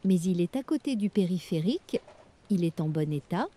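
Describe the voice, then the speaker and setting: A woman reading everyday French dialogues for learners, with clear, careful scripted delivery. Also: lowish voice, animated, normal speed, mellow.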